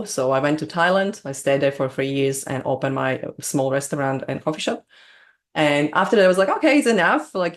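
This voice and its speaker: A woman from Slovakia with a Slovak accent, speaking English as a second language as a guest on an interview, bubbly and quick to laugh. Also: extremely low pitch. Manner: bubbly, laughs often, non-native grammar. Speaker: business coach, guest. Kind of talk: casual interview chat.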